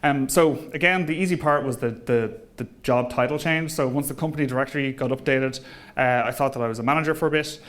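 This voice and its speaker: A man presents informally to an audience as a manager. His voice sits at a medium pitch. He speaks conversationally and hesitantly, often pausing on ums before carrying on. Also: a bit bright. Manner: hesitant, conversational delivery with frequent ums and pauses. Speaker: engineering manager speaking at a company event.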